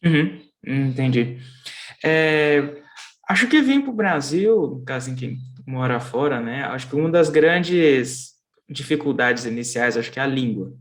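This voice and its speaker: A podcast host with a Brazilian accent, asking loose, rambling questions in a relaxed, affirming way. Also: mid-range voice, measured pace. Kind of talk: casual interview chat.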